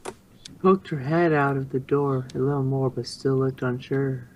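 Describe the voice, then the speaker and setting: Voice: mid-range voice, fairly even intonation, a little slow, rough recording. Storyteller with some background noise. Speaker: narrator. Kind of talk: story narration, amateur fan fiction reading.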